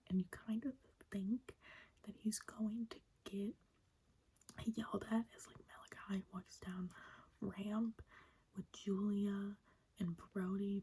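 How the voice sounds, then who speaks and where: Whispering woman with a breathy, mellow voice. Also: medium pitch, poor audio. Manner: whispering, chatty and enthusiastic. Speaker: wrestling recap host. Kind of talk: ASMR whispered show recap.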